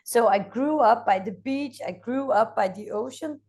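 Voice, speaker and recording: A woman from Denmark in her thirties with a Danish accent, speaking as an energetic company founder in an interview and pausing often on "uh". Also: a little high. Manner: energetic, frequent "uh" hesitations. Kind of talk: interview conversation.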